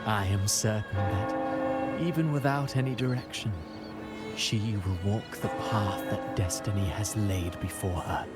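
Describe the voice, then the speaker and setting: A man playing a suave, philosophical villain playwright in a video game, measured and pompous in a theatrical way, with music behind. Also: lowish voice, natural voice. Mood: solemn, certain. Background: loud game music.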